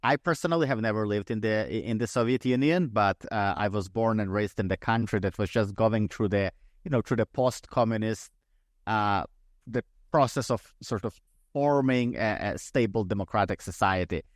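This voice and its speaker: A man's voice: lowish voice, normal speed, no echo, studio quality. A man in his thirties from Ukraine, a podcast guest speaking conversationally and thoughtfully, often restarting and repeating words. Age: 30s. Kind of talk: conversational interview.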